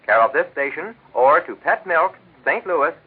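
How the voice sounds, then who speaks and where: A man's voice: a little high, animated, moderate pace, breathy edge. An American man working as a 1940s radio announcer, delivering chatty, folksy advertising patter for a sponsor. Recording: vintage 1940s radio broadcast, telephone-like band.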